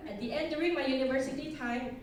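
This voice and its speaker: A woman's voice: sits high, fast talker. A woman in her thirties from Thailand with a Thai accent, giving a chatty, self-deprecating conference talk and laughing often. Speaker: conference speaker. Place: conference hall. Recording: echoey hall, distant mic.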